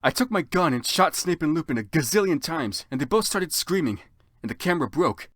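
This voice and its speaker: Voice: mid-range voice, expressive, a little fast, no room sound, clean studio sound. A narrator giving a theatrical, exaggerated comedic reading of fan fiction.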